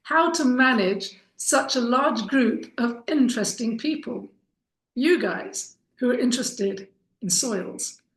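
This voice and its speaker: A middle-aged woman from Kenya gives an informal introductory talk as a course instructor, earnest and deliberate, telling her story with pauses. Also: fairly high pitch, animated. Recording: echoey room.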